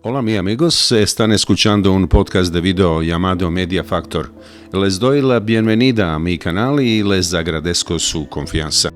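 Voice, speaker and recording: A man hosting an interview in a language that is not his first, speaking haltingly with many pauses and fillers. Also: low-pitched, soft background music. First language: not Spanish. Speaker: host. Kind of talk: interview podcast. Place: home, video call.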